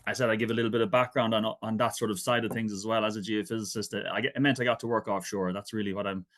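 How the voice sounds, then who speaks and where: An Irish man in his thirties with a fairly low voice, speaking over a video call. He gives his lecture in a relaxed, conversational way and drops in frequent asides. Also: racing. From Ireland. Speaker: guest lecturer. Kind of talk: online academic talk.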